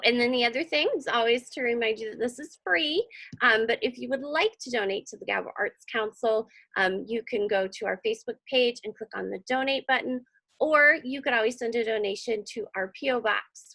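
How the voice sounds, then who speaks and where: Woman host, high voice, crackly edges, chatty introductions, close dry sound. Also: vocal fry, bright, forceful tone, no room sound. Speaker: arts council president hosting a read-aloud.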